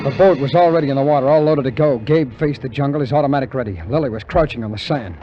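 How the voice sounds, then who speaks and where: A man's voice: medium pitch, quick pace, loud music behind the voice, close to an everyday voice. An American man playing a desperate, loyal adventurer with urgent, pleading delivery in 1950s radio drama style. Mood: tense narration. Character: desperate, loyal male adventurer, ready to sacrifice himself. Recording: muffled vintage 1950 radio recording.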